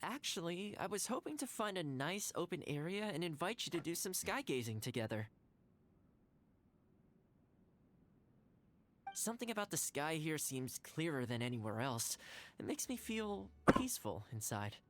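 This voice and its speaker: A woman's voice: low voice, big pitch swings, moderate pace. A woman voicing a cheerful, easygoing young companion in a video game, chatty, friendly and considerate. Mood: gentle, reflective.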